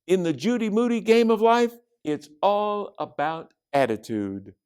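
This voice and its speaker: An excitable young schoolgirl from a children's storybook, acted out by a man, pitched way up and swooping with eagerness. She is upbeat and a little bossy. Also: unhurried, smooth. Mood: explaining, bossy. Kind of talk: children's storybook read-aloud.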